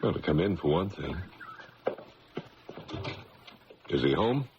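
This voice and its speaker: An actor playing a shrewd, dryly sardonic judge in a radio drama, probing in a measured way. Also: male voice, deep voice, lively intonation, a little hiss or hum. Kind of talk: old-time radio drama acting. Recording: dull radio broadcast with music behind.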